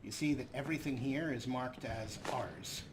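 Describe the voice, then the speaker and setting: A man's voice: mid-range voice, normal speed, background noise. A man hosting a video game let's play, giving commentary as he goes. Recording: loud game ambience behind voice.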